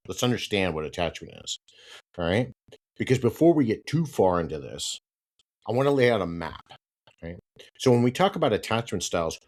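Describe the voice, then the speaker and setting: A stuffed-up man with a slightly nasal, somewhat low voice, talking through his topic alone as a podcast host. He keeps it conversational, checks in with a frequent "right?", and gets firm and emphatic when he pushes back on advice he dislikes. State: congested with hay fever. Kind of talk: solo podcast monologue.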